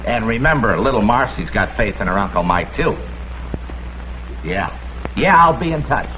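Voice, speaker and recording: An actor playing a slick schemer in a vintage crime drama, coaxing in slangy, informal talk. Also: male voice, on the low side, animated, normal speed, close to an everyday voice. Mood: breezy. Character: slick, wheedling male schemer after insurance money. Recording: dull old soundtrack with faint noise.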